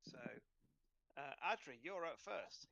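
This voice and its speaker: A man's voice: a little high, animated, slow, creaky, bright, forceful tone, dry recording, dull audio. A man running an online tabletop game as game master, talking players through their turns.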